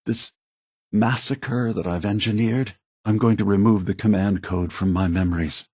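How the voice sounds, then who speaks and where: A man voicing a calm, remorseful old synthetic android leader in a video game, speaking in a measured, grave, deliberate way. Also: fairly low pitch, slightly rough, no echo, clean studio sound. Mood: troubled, guilty.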